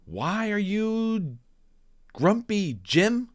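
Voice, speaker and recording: Squeaky, cheerful jungle animal. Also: male voice, big pitch swings, extremely slow, no echo, studio quality, heavily acted. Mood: bright, questioning. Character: cheerful, meddling jungle animals, chirpy and coaxing.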